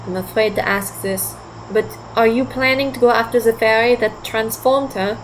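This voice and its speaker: Inquisitive teenage girl, lightly high voice woman acting. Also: fairly even intonation, normal speed, a little hiss or hum, natural voice, rough recording. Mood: curious, skeptical. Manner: inquisitive, reasoning. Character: thoughtful, skeptical, polite teenage girl. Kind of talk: audio drama acting.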